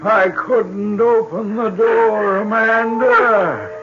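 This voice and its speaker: A man's voice: high voice, drawn-out words. A man acting in an old-time radio drama as an anguished, protective father of a grown daughter. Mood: menacing, grim. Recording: dull old radio recording with music.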